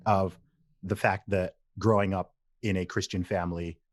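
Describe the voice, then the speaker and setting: A man's voice: low-pitched, flat delivery, normal speed, no echo. A man in his thirties from England, a podcast guest who tells stories animatedly, repeats phrases and laughs easily. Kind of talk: casual interview conversation.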